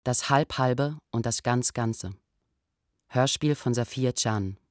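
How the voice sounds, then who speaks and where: A man's voice: medium pitch, slow pace, no echo, clean studio sound. A man reading scripted radio credits as an announcer.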